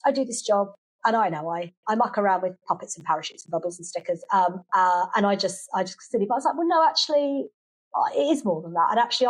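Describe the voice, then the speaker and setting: A woman's voice: fairly high pitch, husky. A British woman, probably middle-aged in tone, chats as an interview guest, often restarting and repeating phrases for emphasis. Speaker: podcast guest, children's language class teacher. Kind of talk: conversational interview answers.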